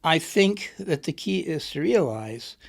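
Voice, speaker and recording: A man's voice: fairly high pitch, sing-song, unhurried, vocal fry. A middle-aged man, an author and poet, being interviewed and thinking aloud with a reflective, rambling manner. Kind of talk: conversational interview.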